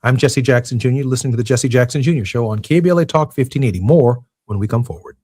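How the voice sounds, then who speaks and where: A man hosting a talk radio show, introducing his guest and teasing the next segment in a measured broadcaster delivery. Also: medium pitch, very noisy.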